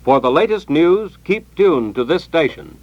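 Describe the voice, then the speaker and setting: A man's voice: on the high side, sing-song, unhurried, lo-fi sound. A man announcing a scripted news roundup in 1940s network radio style.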